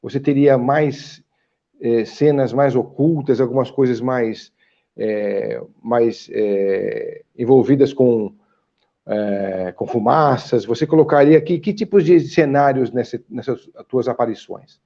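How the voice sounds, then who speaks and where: A Brazilian male host asking long, searching questions with plenty of hesitant fillers. His voice is mid-pitched and dark, even mellow. The recording sounds dull and lo-fi. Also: slow, gravelly. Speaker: interviewer host. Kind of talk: interview questions. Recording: dull lo-fi audio.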